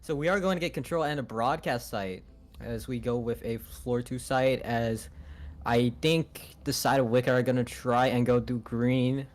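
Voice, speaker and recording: A man's voice: medium pitch, fairly even intonation, normal speed, soft background music. A man co-casting an esports match live as his voice wears out, rambling and filling gaps with "you know". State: losing his voice. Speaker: esports co-caster.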